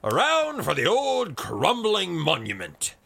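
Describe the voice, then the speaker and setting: A man's voice: fairly high pitch, wildly swooping pitch, measured pace, gravelly, pushed and bright, husky, full character voice. A man voicing a fiery, hearty explorer colonel from a fantasy story, boisterous and indignant. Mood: hearty toasting.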